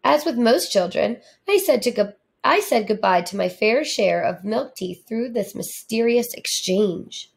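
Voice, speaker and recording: Slow, animated woman reading aloud. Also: a little high, gravelly. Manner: deliberate, animated delivery. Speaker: storybook reader, teacher. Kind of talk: slow expressive read-aloud for children.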